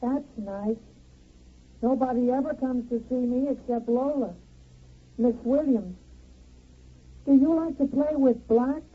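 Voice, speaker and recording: High childlike robot. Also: female voice, unhurried, long pauses, breathy edge. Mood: eager, friendly. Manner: simple, childlike, polite. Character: childlike eight-foot robot of chrome, innocent, eager to play. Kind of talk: 1950s radio drama acting. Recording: muffled vintage 1950s radio recording.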